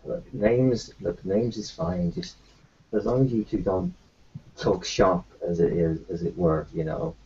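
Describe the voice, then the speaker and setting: Gravelly low-voiced man roleplaying. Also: a little slow, dark tone. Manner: rambling, hesitant, many restarts. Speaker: tabletop roleplaying player. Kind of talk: in-character roleplay chat. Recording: muffled lo-fi with faint hiss.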